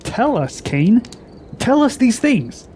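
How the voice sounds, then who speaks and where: Man playing a proud, high-voiced young warrior prince. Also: very animated, slow pace, darkish tone, a little hiss or hum, exaggerated voice. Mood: urgent. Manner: haughty, dramatic. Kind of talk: radio drama acting.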